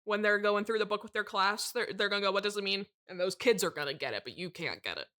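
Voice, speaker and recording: A woman playing a pompous, brooding horror novelist in a mock interview, melodramatic and condescending, pausing for effect. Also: medium pitch, expressive, bright, forceful tone. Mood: scornful, condescending. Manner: melodramatic, condescending, deliberate dramatic pauses. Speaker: interview guest.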